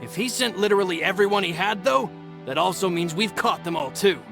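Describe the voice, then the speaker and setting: A loyal underling from a video game story. His voice sits a little high, and he is respectful and earnest. Music plays clearly behind him. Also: animated, brisk. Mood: reporting, reassuring. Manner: deferential, earnest. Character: loyal, respectful young male henchman reporting to boss.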